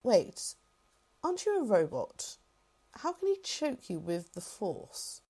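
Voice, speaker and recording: A woman's voice: mid-range voice, huge pitch swings, takes it slowly, dark tone, breathy edge, full character voice. A woman playing a hesitant, mild-mannered general in a Minecraft roleplay, tentative and questioning. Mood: puzzled. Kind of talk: hobby Minecraft roleplay acting.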